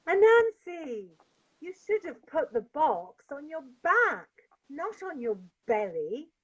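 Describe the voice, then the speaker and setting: Cheeky little boy, high teasing voice, as a woman storyteller puts him on. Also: very animated, slow pace, fairly bright tone, lo-fi sound, full character voice. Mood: mocking. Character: cheeky mocking young boy.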